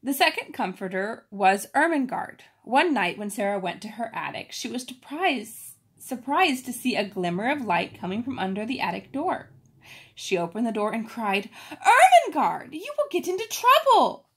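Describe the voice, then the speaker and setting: A woman reads a story aloud to children in an expressive, informal manner, stopping for casual explanations. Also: medium pitch, moderate pace, slightly rough.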